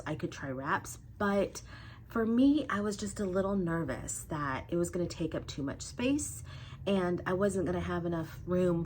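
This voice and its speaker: A woman's voice: on the low side, slight background noise, poor audio. A young adult woman with cold congestion, giving a chatty weight loss update to her viewers. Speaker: vlogger.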